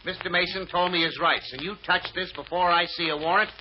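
A man's voice: sits high, fairly even intonation, a little fast, close to an everyday voice. An actor playing a brash, stubborn male private detective in an old-time radio drama, cocky and defiant. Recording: muffled old radio recording, faint noise.

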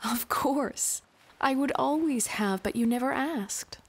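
A woman playing a proud, self-absorbed young bride in an animated film. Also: high voice, slow, slightly rough, exaggerated voice. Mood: earnest.

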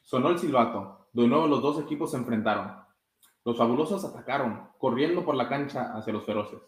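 Young man, mid-pitched, slightly halting storybook reader, roomy webcam sound. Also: expressive. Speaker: soccer goalkeeper reading a children's book. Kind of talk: read-aloud storytime. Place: small room with blinds, indoors.

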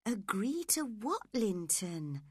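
A woman voicing a spirited, caring teenage girl who is quick to anger, in a dramatised audiobook. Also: mid-range voice, sing-song, a little mellow, slightly rough, dry recording, studio quality, heavily acted. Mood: gentle concern.

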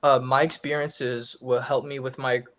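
A man's voice: mid-range voice, flat delivery, normal speed, fairly bright tone. A young man with a stutter, giving a college class presentation over a video call. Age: young adult. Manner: stutters with sound repetitions and blocks. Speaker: student presenter.

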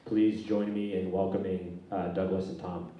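A man's voice: low-pitched, normal speed, heavy background noise. A middle-aged man moderating a panel, reading out speaker introductions with a handheld mic on a stage. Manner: reads out speaker bios in a steady, even way. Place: stage in a large dark hall. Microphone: handheld microphone. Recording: echoey hall, from far off.

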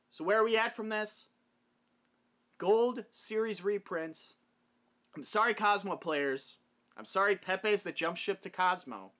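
A man's voice: on the high side, bright, forceful tone. A young man talking casually and emphatically to camera, giving his opinions as a video host in a room at home. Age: young adult. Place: small wood-paneled room at home.

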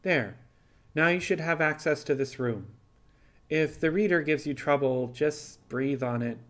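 A man voicing a helpful, slightly awkward male animal engineer on a starship, casual and a bit halting. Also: a little high, a bit bright, voice pushed up.

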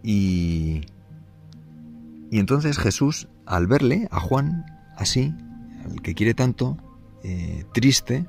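A man, a priest, giving an unscripted catechesis in a gentle, halting way, with many fillers and restarts. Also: on the low side, gravelly.

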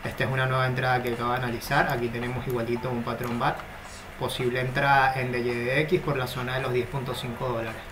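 A man gives a screen-recorded crypto market analysis, talking through each chart in a steady, explanatory way. His voice sits in the middle range for a man. The recording carries clear background noise from his home setup. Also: mid-range voice, fast.

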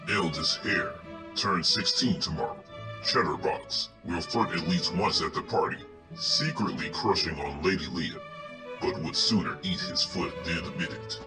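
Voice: low voice, moderate pace, poor audio. A narrator reading out scripted character profiles. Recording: music behind the voice.

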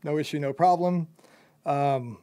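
A man's voice: a little high, measured pace, warm tone. A young man who owns a company, casually interviewing a job applicant in a loose, rambling way with lots of fillers.

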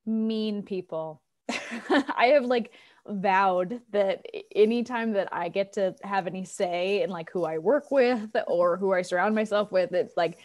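An American woman in her thirties talking casually and informally as an interview guest, chatty and animated, on a video call. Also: mid-range voice. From United States, Colorado. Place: living room on a video call. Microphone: wired earbuds on a video call.

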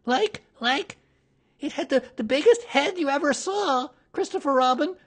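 A performer voicing a small, timid piglet in a storybook, with a squeaky, strained voice and a frantic, stammering manner. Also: very slow, heavily acted. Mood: breathless, frightened.

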